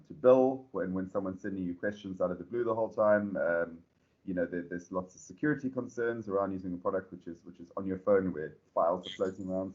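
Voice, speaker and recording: South African man, founder being interviewed, lowish voice, rambling delivery, dull lo-fi sound. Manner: relaxed, rambling with many fillers and restarts. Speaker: startup founder and CEO. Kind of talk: podcast interview.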